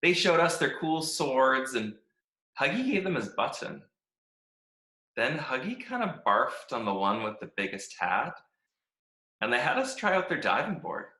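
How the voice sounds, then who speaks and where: A man reading a children's storybook as a cheerful, oblivious talking stick, gushing with naive excitement. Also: medium pitch, unhurried. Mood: gleeful. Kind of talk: animated children's storybook read-aloud. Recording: echoey room.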